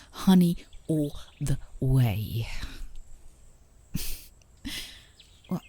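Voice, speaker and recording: Woman acting as affectionate girlfriend, soft breathy half-whisper, mellow low-leaning tone, playful coaxing, intimate ASMR roleplay. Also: dry recording, full character voice. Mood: teasing. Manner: soothing, playfully coaxing, with small chuckles. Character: gently coaxing, teasing, affectionate girlfriend on a park date.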